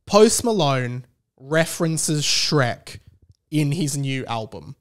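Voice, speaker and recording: A man's voice: a little high, very animated, slow. An Australian man in his thirties hosting a podcast, talking casually in a rambling, wry, mock-serious way. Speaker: podcast host. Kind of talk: casual solo podcast commentary.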